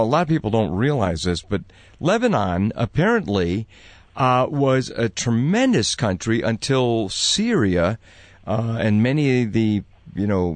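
A male host conducts a conversational interview with animated, widely swinging pitch. His voice sits in a middling register and sounds close and dry, with hardly any room around it. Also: dry recording.

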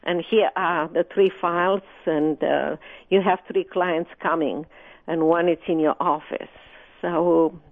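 A woman's voice: lowish voice, steady tone, slow. A woman from Romania, a guest author telling her life story in an interview, speaking hesitantly with many ums. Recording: tinny phone line.

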